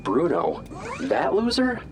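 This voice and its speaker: An actor playing a cocky, sarcastic armored superhero with a dismissive manner in an audio drama. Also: male voice, fairly high pitch, wildly swooping pitch, slow pace, a crowd in the background, exaggerated voice. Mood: sarcastic, exasperated. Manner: snarky, dismissive.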